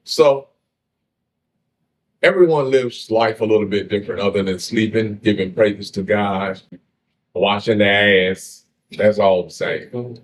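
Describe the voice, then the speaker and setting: A man from the eastern shore of Maryland with a deep voice, a comedian telling jokes and stories in a casual interview. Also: unhurried, long pauses, poor audio. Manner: joking, laid-back storytelling.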